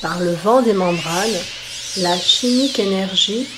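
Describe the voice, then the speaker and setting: A woman reciting an epic poem in a solemn, measured declamation, phrase by phrase. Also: mid-range voice, very noisy. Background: loud music.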